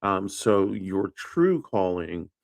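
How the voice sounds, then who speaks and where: Slow, very low-voiced man, halting. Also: big pitch swings, creaky, no room sound. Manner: halting, many fillers and restarts; unsure with names and pronunciations. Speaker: livestream host. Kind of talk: casual livestream chat.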